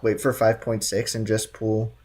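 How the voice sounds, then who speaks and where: A woman's voice: rumbling low, level tone, normal speed, a little mellow. A woman doing casual, slangy, sarcastic commentary as she streams a video game. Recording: faint game music behind.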